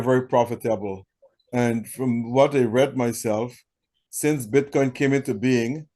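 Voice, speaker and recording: Man, interview host, measured questions, repeats points back. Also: medium pitch, darkish tone.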